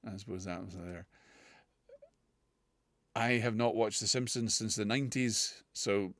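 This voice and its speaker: A man's voice: on the low side, unhurried, a bit bright, dry recording, studio quality. A man from the United Kingdom trying out accents for fun in a casual comedy video, laughing at himself and chatting between attempts. He talks loosely, in stops and starts. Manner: self-mocking, laughs often, switches in and out of accents. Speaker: voice-challenge vlogger.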